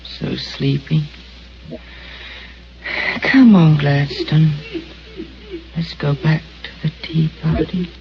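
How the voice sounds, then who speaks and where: Brief: a stammering, childlike dreamer in an old radio drama, slow and muffled, with faint hiss. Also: male voice, on the high side, lively intonation, vocal fry, heavily acted. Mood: dreamy. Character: gentle, simple-minded, dreamy young man. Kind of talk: 1940s radio acting.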